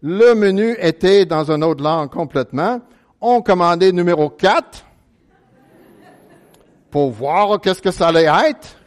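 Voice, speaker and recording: A man's voice: high-pitched, lively intonation, a faint crowd. Earnest American man preaching in French. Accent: American English. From United States, Chicago suburbs. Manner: earnest, halting with many restarts, prayerful. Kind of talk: sermon.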